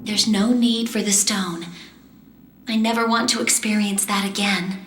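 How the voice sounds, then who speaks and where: A woman voicing a determined, composed young female magician in a fantasy game, earnest and measured. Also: medium pitch, steady tone, noisy background, natural voice. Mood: wistful, reflective. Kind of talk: English-dubbed fantasy game cutscene acting and narration. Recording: roomy echo, game music behind.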